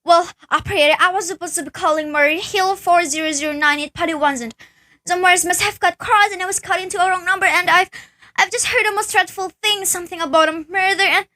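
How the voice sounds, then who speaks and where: A nervous, demanding invalid wife voiced by a woman in a radio play. The voice is extremely high, bright and tense, with a fretful, insistent edge. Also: quick pace. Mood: horrified, urgent. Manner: anxious, insistent, complaining.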